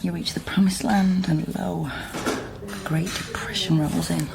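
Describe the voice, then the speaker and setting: Weary woman researcher, unhurried, dry wit, muttering, radio drama, noisy background. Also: on the low side, fairly even intonation, gravelly. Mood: wry, musing. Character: wry, weary, brisk woman genealogist running research business. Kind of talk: naturalistic radio drama acting.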